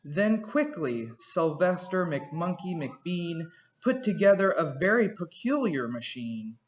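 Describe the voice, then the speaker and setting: Male storyteller, high voice, rhythmic rhyming read-aloud, lo-fi home recording. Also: sing-song, slow pace. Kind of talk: reading a children's picture book aloud.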